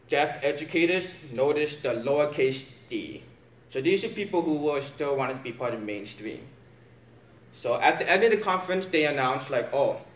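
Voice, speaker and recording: A young man whose first language is sign language, giving a casual, hesitant class presentation with plenty of fillers. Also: on the high side. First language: American Sign Language. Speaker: student presenter. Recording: echoey room, mic far off.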